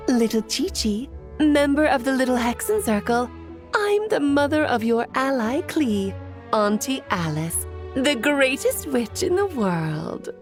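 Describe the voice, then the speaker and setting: A woman voicing a breezy, playful witch auntie in a video game, coaxing sweetly and theatrically as if to a child. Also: a little high.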